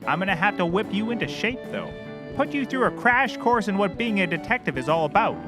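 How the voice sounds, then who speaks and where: An actor playing a hapless, overconfident private detective in a scripted comedy, speaking in an eager, self-important, theatrical way. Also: male voice, high voice, sing-song, a little fast, over music. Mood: self-important instructing.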